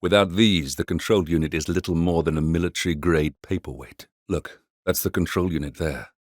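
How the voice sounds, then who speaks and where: A composed, brooding mercenary handler from a stealth video game. His voice sits low, and he delivers briefings in measured, understated phrases with a wry edge. The sound is dry and close, free of room echo. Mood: businesslike. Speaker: mission handler. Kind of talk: scripted video game voice acting, mission briefing.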